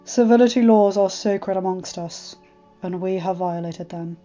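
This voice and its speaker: A woman's voice: mid-range voice, a little slow, mellow, dull audio, soft background music, natural voice. A woman reading aloud and voicing a solemn, formal male dragon who speaks with grave deference. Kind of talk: fan fiction audiobook reading.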